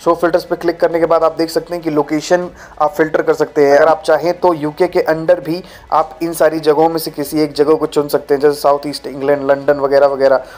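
A man's voice: a little high, level tone, a little fast, a little mellow. A young man hosting a how-to video, walking viewers through a website in a friendly, chatty way and often calling them friends. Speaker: YouTube channel host. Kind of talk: informal tutorial walkthrough. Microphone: lapel mic. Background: faint background music.

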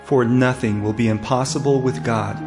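A man acts a solemn angel messenger in a Bible audio drama. He proclaims with measured authority at a medium pitch, over clearly heard music. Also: fairly even intonation, lightly acted. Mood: solemn, reassuring.

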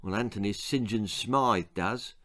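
A man's voice: on the low side, measured pace, fairly bright tone, dry recording, clean studio sound. An English man voicing a scrawny, defiant underdog schoolboy in a storybook, blunt and protesting. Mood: disbelieving.

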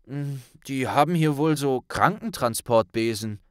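A man's voice: medium pitch, lively intonation, unhurried, smooth, dry recording, clean studio sound, pitched above the performer's own voice, lightly acted. A man playing a blunt, loyal young male wizard friend with a colloquial way of talking in an audiobook. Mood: casual. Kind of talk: audiobook character voice.

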